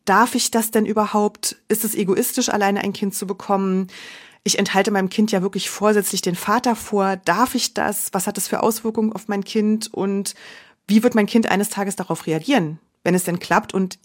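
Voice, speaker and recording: A woman's voice: medium pitch, fairly even intonation, moderate pace. Candid, reflective German woman guest. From Germany, Berlin. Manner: reflective, candid, with frequent restarts. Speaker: interview guest. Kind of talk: personal interview.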